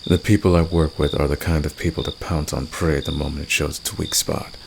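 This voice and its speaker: A confident villain acted by a man speaks in an extremely deep, creaky voice. He is flirtatious and teasing, intimate as in ASMR romance audio, with a protective streak. Crickets and night noise are clearly heard behind him. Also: level tone, a little fast. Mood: protective, reassuring. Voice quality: very deep, gravelly. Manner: teasing, smug, intimate, soft chuckles.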